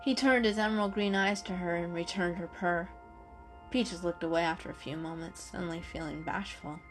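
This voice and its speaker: A woman's voice: on the low side, moderate pace, soft background music. A woman narrating a children's story as a dramatic reading.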